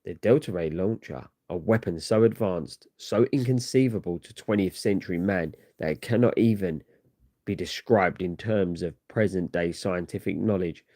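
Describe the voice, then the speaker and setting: Low, mellow man narrating dramatically. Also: moderate pace. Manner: theatrical, grandiose. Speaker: narrator of a comic read-along. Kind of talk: dramatic scripted storytelling narration.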